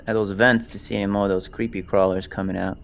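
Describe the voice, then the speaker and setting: A man's voice: fairly low pitch, flat delivery, fast, vocal fry. A man playing a tabletop game, chatting casually with friends. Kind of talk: casual group game chat.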